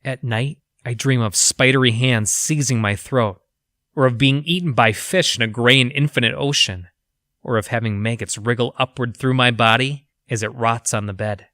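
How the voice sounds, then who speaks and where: An actor playing an educated, haunted research scientist, reading his journal for an audio drama in a formal, measured, clinical manner. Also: mid-range voice, pushed and bright, no echo, studio quality, lightly acted.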